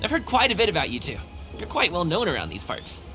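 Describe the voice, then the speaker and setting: Bright, animated, cheerful young guard. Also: male voice, sits high, quick pace, faint music behind, full character voice. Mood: friendly, easygoing. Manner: chatty, upbeat, reassuring. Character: cheerful, friendly young male guard, eager local guide. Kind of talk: animated video game voice acting.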